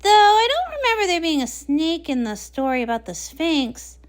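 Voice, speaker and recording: Woman acting out a cartoon party pony, very high voice, swooping intonation, playful, chatty, scatterbrained. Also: sing-song, moderate pace. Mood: cheerful, bubbly. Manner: bouncy, chatty. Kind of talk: acted comic read-along.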